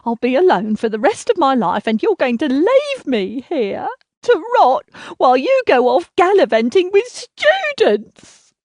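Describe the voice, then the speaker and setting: Lady putting on a nasty shrill mom, swings from screaming to wailing, super dry sound. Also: sing-song, a little slow, no room sound. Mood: pleading. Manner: shrill, berating, swinging to sobbing pleas. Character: cruel, self-pitying, volatile middle-aged mother.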